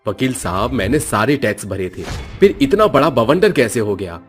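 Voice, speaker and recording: A man's voice: medium pitch, big pitch swings, normal speed, faint music behind, lightly acted. A man playing a worried, earnest businessman husband fallen on hard times in a family story. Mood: distressed. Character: worried businessman husband who lost everything.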